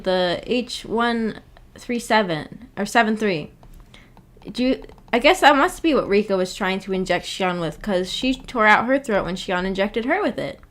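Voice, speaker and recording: A woman with a somewhat high voice chats casually as a livestream host. She rambles informally through her thoughts and has a giggly manner. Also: fairly bright tone. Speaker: streamer hosting a book club discussion.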